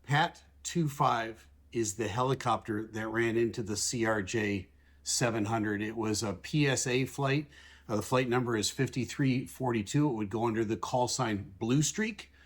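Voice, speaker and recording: A man giving explanatory commentary as an aviation analyst, talking in a measured, plain-spoken way and putting radio calls into everyday words. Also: mid-range voice. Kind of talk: explanatory commentary over air traffic recordings.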